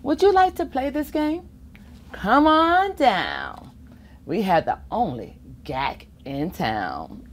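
A middle-aged woman reading a children's picture book aloud in an expressive sing-song way, with playful asides. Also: mid-range voice, slow. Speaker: volunteer children's storybook reader. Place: school or public library children's corner, indoors. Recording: faint room ambience noise.

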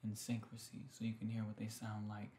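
A man speaks in a soft, very dark and mellow voice, often dropping to a whisper. He talks low and gently, as an intimate ASMR host, in a roomy indoor space. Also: completely flat delivery, brisk. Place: small decorated room indoors. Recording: roomy echo, faint background noise. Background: ringing singing bowls.

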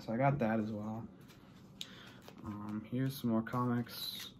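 A man's voice: lowish voice, fairly even intonation, slow, darkish tone, a little hiss or hum. A young adult American man chatting casually and unscripted to camera in a rambling way, as he shows off a haul in a small room. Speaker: vlogger showing a collection haul. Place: small bedroom, indoors.

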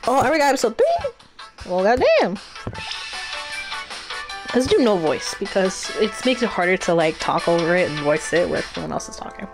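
Playful, blunt woman streamer with animated pitch. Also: brisk, long pauses. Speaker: game streamer. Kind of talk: casual gameplay commentary with swearing. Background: game music.

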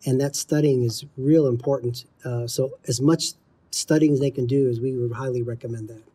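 A man's voice: mid-range voice, steady tone, normal speed, dark tone, breathy edge. A middle-aged man explaining training methodically, as an instructor answering interview questions. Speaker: air traffic control instructor, interview guest.

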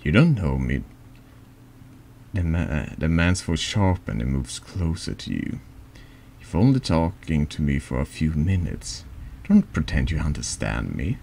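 Man, extremely low voice with heavy fry, halting horror ASMR narration of a text game, some background noise. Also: darkish tone. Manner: halting, stumbles over words. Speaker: streamer reading a text adventure game aloud. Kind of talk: slow ASMR horror story reading.

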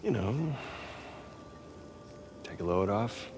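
Game role: driven, sardonic American treasure hunter, middle-aged, very low gravelly register, theatrical streak, scored with music. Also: male voice, lively intonation, slow. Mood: relaxed, reflective. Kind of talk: naturalistic cinematic game acting.

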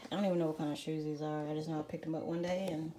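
A woman's voice: low-pitched, flat delivery, rapid-fire, mellow, slight background noise. A young woman chatting casually to her phone camera at home and in her car, rambling with lots of "like" fillers. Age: young adult. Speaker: vlogger. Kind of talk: casual vlog chat. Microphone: handheld phone camera.

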